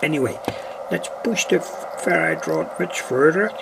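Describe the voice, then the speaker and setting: Gravelly Dutch-accented man demonstrating gear. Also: a little high, measured pace, background noise. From Netherlands. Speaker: electronics hobbyist demonstrator. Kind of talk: casual unscripted demonstration. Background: shortwave radio playing stations.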